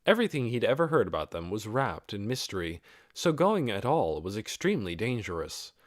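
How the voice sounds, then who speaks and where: A man with a fairly low voice narrates a fantasy novel. The delivery is scripted storytelling, and the sound is dry, with very little room in it. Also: big pitch swings, a little fast, dry recording. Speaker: audiobook narrator.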